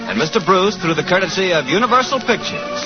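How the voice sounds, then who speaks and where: A man's voice: sits high, very animated, fast talker. A man announcing and reading sponsor messages on a 1940s radio show, polished and upbeat. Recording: muffled old radio broadcast under music.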